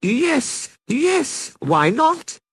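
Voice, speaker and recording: A man's voice: extremely high pitch, sing-song, extremely slow, no echo, clean studio sound, pitched above the performer's own voice, full character voice. A man voicing a restless, theatrical male skeleton, declaiming storybook verse. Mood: excited. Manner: declamatory, rhyming verse delivery.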